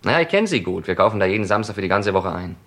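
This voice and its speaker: An actor playing a defensive husband under police questioning in a radio crime drama, guarded, terse and touchy. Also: male voice, low voice, big pitch swings, very fast, a bit bright, heavily acted. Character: defensive male suspect, a husband who smokes heavily.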